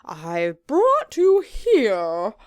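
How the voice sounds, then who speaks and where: A woman's voice: mid-range voice, wildly swooping pitch, dry recording, heavily acted. A woman voicing a touchy, pompous male villain speaking from a screen. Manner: slow, drawn-out, self-important delivery.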